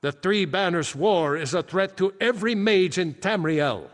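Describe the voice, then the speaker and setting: An actor playing a solemn ancient archmage back from death, speaking gravely and formally in fantasy video game dialogue. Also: male voice, high-pitched, animated, moderate pace. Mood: grave, proud. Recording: echoing game hall with long reverb.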